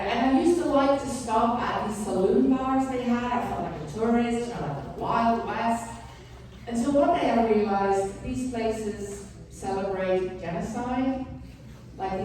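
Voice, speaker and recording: A woman's voice: mid-range voice, darkish tone, a little hiss or hum. A middle-aged woman from the Netherlands, a native Dutch speaker, doing a stand-up set with dry, sarcastic punchlines. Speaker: stand-up comedian. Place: comedy club stage. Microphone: handheld stage mic. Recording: roomy club sound from far off. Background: crowd laughter.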